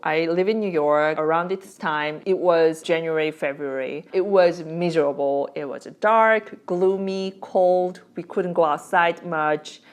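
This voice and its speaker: A woman's voice: low-pitched, a little slow, few pauses. A woman in her thirties with a Korean accent, whose first language is Korean, chatting candidly about life as a new mother in a casual vlog. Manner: chatty and candid, with fillers and small slips.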